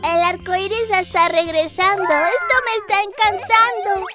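A woman voicing a lively young cartoon lion cub, narrating in chirpy sing-song rhymes. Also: very high voice, normal speed. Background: children's music.

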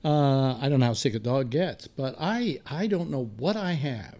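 A man's voice: mid-range voice, animated, moderate pace, warm tone. A man in his seventies with an American accent, hosting a talk show in a rambling, casual way from a desk microphone at home. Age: 70s. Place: home room lined with disc shelves.

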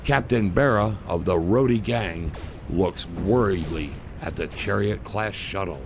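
A man's voice: low voice, a little slow, noisy background. A man narrating an adventure serial in a theatrical, scripted pulp style.

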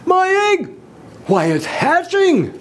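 An earnest, long-suffering male elephant from a storybook, as a man reads him. He declares himself emphatically, with a hugely animated pitch. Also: unhurried, slight background noise. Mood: astonished, excited.

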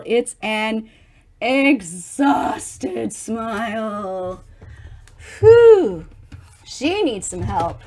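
A woman's voice: on the high side, darkish tone. A middle-aged woman reading a picture book aloud expressively, theatrically stretching words and adding chatty asides for young listeners. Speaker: children's storybook reader.

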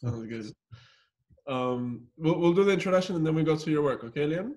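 Brief: male host, slightly high voice, laptop mic, short encouraging cues. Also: expressive, fairly quick, darkish tone. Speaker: class host. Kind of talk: casual moderating on a video call. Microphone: laptop mic on a video call.

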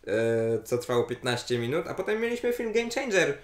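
A man's voice: high-pitched, sing-song, brisk. Young man chatting in a roomy space. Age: young adult. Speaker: film festival reviewer. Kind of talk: casual discussion review. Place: small living room, indoors, on a couch. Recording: roomy echo.

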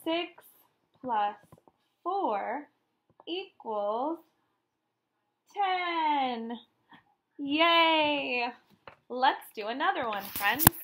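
Female primary teacher for a children's read-aloud: bright, high voice, encouraging and sing-song. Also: lively intonation, drawn-out words, pauses often, a little hiss or hum.

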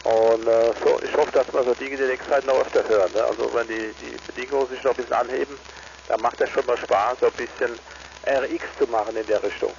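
A man chatting casually as an amateur radio operator, speaking in a halting, courteous way. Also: mid-range voice, steady tone, gravelly. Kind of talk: casual radio contact chat. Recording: noisy, dull amateur radio link.

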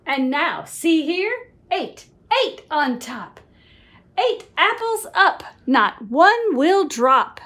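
Middle-aged female storyteller for a kids' picture book, very animated range, unhurried and sing-song. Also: sits high. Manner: expressive, sing-song, slow, with whispered asides. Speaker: children's book reader. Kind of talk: animated read-aloud of a picture book for young children. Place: small room at home.

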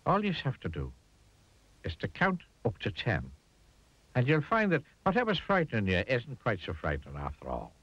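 Guy doing a kind gentle tramp from a kids story, soothing n unhurried, husky voice, slightly muffled audio. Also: medium pitch, wildly swooping pitch, no room sound, pitched above the performer's own voice, full character voice. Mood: reassuring. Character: kindly, gentle male tramp, calm and reassuring.

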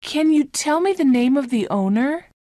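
A woman playing an earnest young female amateur detective in a storybook, speaking clearly. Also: sits high, lively intonation, normal speed, warm tone, no room sound, studio quality. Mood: inquisitive, polite. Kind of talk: scripted audiobook dialogue.

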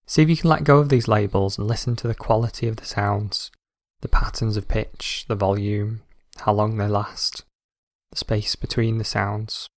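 A man calmly guiding a scripted meditation in a measured, instructional way. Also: on the low side, no room sound, clean studio sound. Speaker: meditation guide.

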